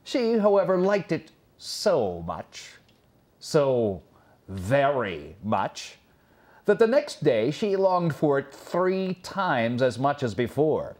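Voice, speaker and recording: Male storyteller for fairy-tale narration, mid-pitched, highly animated, dramatic reading with pauses.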